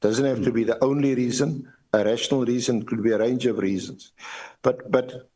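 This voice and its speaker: A South African man questioning a witness formally as evidence leader at a hearing, with halting, repetitive phrasing and lawyerly deference. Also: mid-range voice, fairly even intonation, a little mellow, a little hiss or hum.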